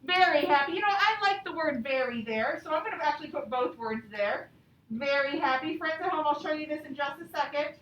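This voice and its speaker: A woman's voice: high-pitched, brisk, fairly bright tone, lo-fi sound. A woman working as an elementary teacher, leading a lesson and read-aloud for children in simple, repeated phrases. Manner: instructional, simple phrasing with repetition. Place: indoor classroom. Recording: echoey classroom, distant mic, background noise.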